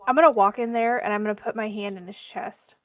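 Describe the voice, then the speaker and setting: A woman's voice: mid-range voice, steady tone, quick pace, no echo. A woman playing a tabletop game, casually describing what her character does. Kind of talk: casual roleplay, describing actions.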